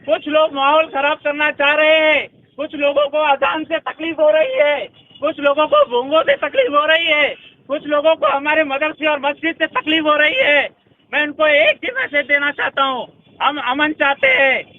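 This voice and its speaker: A man with an Indian accent delivers a fiery speech in a very high, strained-up pitch. The recording is muffled and lo-fi. He hammers his phrases defiantly, repeating them for emphasis. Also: moderate pace. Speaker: organisation leader addressing supporters.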